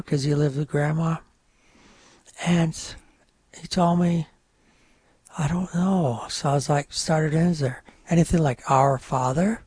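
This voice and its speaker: An older man whose first language is French, telling his life story in a relaxed, rambling interview with many fillers. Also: on the high side, husky, no echo. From North Country of New York. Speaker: guest.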